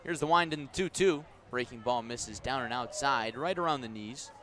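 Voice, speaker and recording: A man doing live baseball play-by-play as a radio announcer, giving measured, detailed commentary that rises on each pitch. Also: a bit bright.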